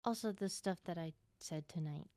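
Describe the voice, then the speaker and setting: A woman chats in character during a game roleplay. Her voice is a little low for a woman, and her delivery is casual and improvised. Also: moderate pace, warm tone, no room sound.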